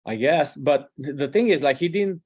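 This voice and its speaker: A man's voice: a little high, normal speed, darkish tone, slightly rough, no echo, muffled recording. A male physician casually presenting a case, hesitant and colloquial in his wording. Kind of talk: casual medical case discussion.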